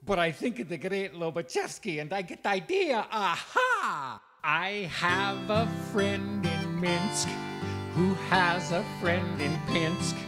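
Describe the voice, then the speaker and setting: An older man playing a gleeful, mock-solemn Russian mathematician rogue with a Russian accent, half singing and half speaking a comic patter song. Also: high-pitched. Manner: theatrical, mock-solemn, hammy. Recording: loud acoustic guitar accompaniment.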